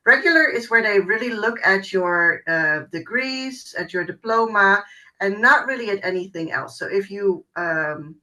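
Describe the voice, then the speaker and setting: A woman's voice: medium pitch, normal speed, pushed and bright, poor audio. A woman working as a university recruiter, giving an informal presentation in an online webinar. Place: online video call.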